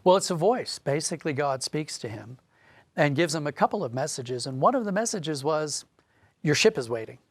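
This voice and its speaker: A man's voice: a little high, lively intonation, moderate pace, dry recording. A man speaking as an interview guest, telling a story conversationally in an explanatory, emphatic way.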